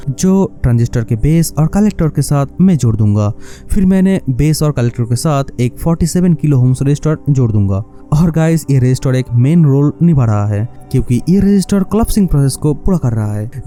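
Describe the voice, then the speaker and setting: A man's voice: medium pitch, warm tone. A man narrating a scripted electronics tutorial, explaining step by step, speaking directly to viewers and mixing in English phrases. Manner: explanatory, addresses viewers directly with rhetorical questions; mixes English words and phrases into speech. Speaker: host of a DIY electronics tutorial.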